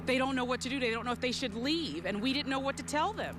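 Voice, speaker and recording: A woman's voice: high-pitched, animated, quick pace. A woman field reporter delivering urgent, ad-libbed breaking news live from an outdoor street. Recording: clear outdoor street and vehicle noise. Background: helicopters and vehicles.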